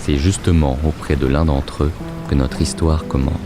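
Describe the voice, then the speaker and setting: A man narrating a scripted fantasy story in a measured, literary storytelling manner. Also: a bass voice, steady tone, music in the background.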